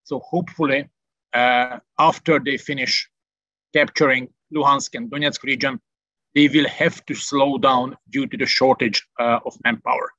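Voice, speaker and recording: A Hungarian-accented man walks through a military briefing in a bright, forceful voice, pausing often on filler sounds. The sound is dry and a little dull, like online call audio. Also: medium pitch, steady tone, no room sound. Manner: methodical, frequent "uh" fillers. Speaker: military analyst. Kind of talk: briefing over a shared map. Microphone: video call.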